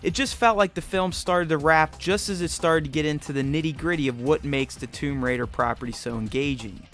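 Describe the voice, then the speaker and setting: A man's voice: fairly high pitch, a little fast, fairly bright tone. A man hosting a film review, reading scripted narration. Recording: music bed behind voice. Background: background music.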